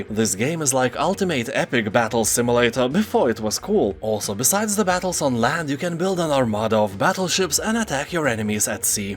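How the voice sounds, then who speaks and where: A man narrating a scripted game roundup as host, dropping wry joking asides. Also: mid-range voice.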